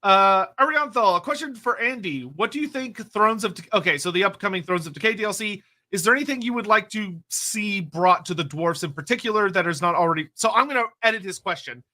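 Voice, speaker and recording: A young adult man co-hosting a casual live stream, reading viewer questions aloud and rambling excitably about game lore, with casual swearing. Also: high-pitched, fairly quick, hardly any pauses.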